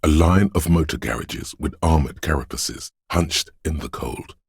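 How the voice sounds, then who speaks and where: Game narrator, an omniscient, brooding inner voice; bottomless bass register, close dry sound, gravelly, slow and solemn. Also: male voice, no room sound, exaggerated voice. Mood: grim, somber. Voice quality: very deep, gravelly husky. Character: brooding, all-seeing inner voice sensing a wintry city.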